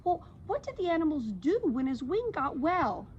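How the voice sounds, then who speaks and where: An eager young storybook boy, played by a woman, always curious and asking. The voice is high and very lively. It sits in a slightly dull recording. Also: normal speed, slight background noise. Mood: delighted. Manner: eager questioning.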